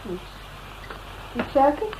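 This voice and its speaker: A woman's voice: slow pace, dull audio, close to an everyday voice. An actress playing a guarded, protective woman, pointed and terse, in radio drama dialogue. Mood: curt. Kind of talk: Dutch radio drama acting. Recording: slightly noisy radio recording.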